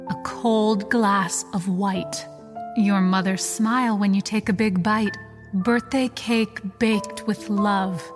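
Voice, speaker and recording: A woman's voice: medium pitch, close to an everyday voice. A woman playing an upbeat, polished advertising announcer in a parody commercial for an audio play. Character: upbeat, slick female advertising announcer selling disaster-era products.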